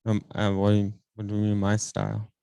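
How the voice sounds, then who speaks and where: A man's voice: fairly low pitch, completely flat delivery, brisk, creaky, dark tone, clean tone, no echo, clean studio sound. A man from California, a guest comedian chatting in a laid-back, easygoing way. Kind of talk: casual chat.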